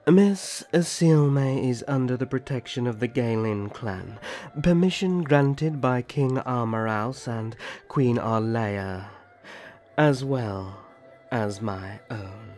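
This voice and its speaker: A man playing a gentle, courtly young elf prince in a roleplay audio drama, speaking formally and graciously. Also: medium pitch, soft background music, close to an everyday voice. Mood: formal, polite. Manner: formal, gracious, measured storytelling.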